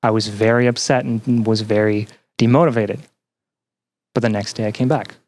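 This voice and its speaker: A young man answering as a podcast guest in an earnest, conversational way, haltingly repeating phrases as he thinks. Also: lowish voice, steady tone, darkish tone. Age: young adult. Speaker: podcast guest, student and teaching assistant.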